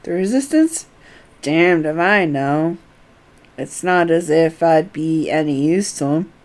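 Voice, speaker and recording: A woman voicing a gruff, dismissive old male refugee in a fantasy game, grumbling with resignation. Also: low voice, lively intonation, slow pace, a little mellow, slight background noise, pitched below the performer's own voice.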